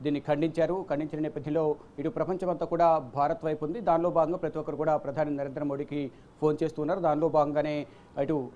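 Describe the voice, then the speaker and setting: A man with a Telugu accent giving unscripted news commentary as a presenter, with halting, repetitive phrasing and frequent hesitations, on a dull, muffled recording. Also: fairly high pitch, little pitch movement, dark tone.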